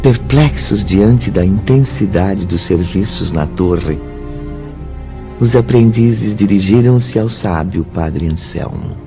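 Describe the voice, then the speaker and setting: A man's voice: sits low, slow pace. A Brazilian man narrating a scripted spiritual radio drama in a solemn manner. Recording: dull audio under prominent music.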